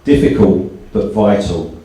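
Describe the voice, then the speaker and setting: Male event host, low register, speeches and poetry readings, recorded at a distance. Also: steady tone, a little slow, mellow. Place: indoor live launch event. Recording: very echoey hall, far from mic.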